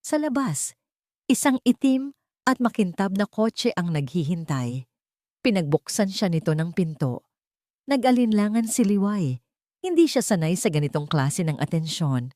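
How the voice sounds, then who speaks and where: A woman's voice: medium pitch, big pitch swings, unhurried, darkish tone, no echo, studio quality. A woman with a Filipino accent reading a scripted story as a dramatic narrator.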